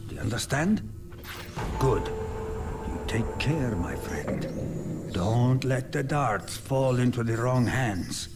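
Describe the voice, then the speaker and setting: Slow, swooping eccentric male scientist. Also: mid-range voice, expressive, recorded from a distance, over loud music. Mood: urgent, cautioning. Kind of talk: video game cutscene acting.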